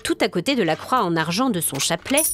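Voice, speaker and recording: A woman's voice: on the low side, animated, fast, fairly bright tone. A woman storyteller reading scripted narration for a dramatic audio tale.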